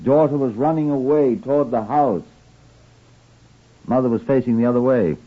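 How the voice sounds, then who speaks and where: An actor with a British accent playing a brisk detective superintendent, terse, inquisitive and in command, in an old radio drama. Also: male voice, medium pitch, phone-quality sound, lightly acted. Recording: thin, band-limited old radio recording.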